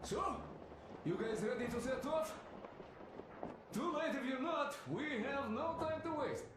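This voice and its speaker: Rough boat skipper, high voice, impatient, engine noise behind. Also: male voice, expressive, unhurried. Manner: brusque, impatient, curses under his breath. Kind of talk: video game cutscene acting. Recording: game mix with engine noise.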